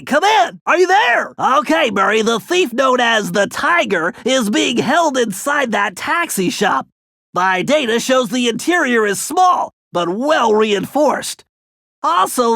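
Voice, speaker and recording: A nerdy young male turtle from an animated video game, speaks in a very high, nasal voice with a creaky edge. He is bookish and anxious, giving earnest, precise mission briefings. The sound is dry and close. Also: dry recording, full character voice. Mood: urgent, alarmed.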